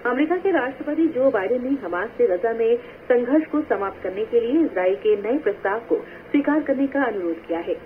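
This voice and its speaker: A woman's voice: on the high side, lively intonation, a little fast, hardly any pauses. A woman with an Indian accent reading formal scripted news, heard through a small radio speaker. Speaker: radio newsreader. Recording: tinny, noisy medium-wave radio reception.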